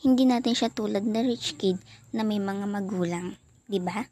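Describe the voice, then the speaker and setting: A woman's voice: medium pitch, moderate pace, warm tone, close to an everyday voice. A young woman playing a cold, dismissive schoolgirl in an amateur radio drama. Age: young adult. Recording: faint background noise.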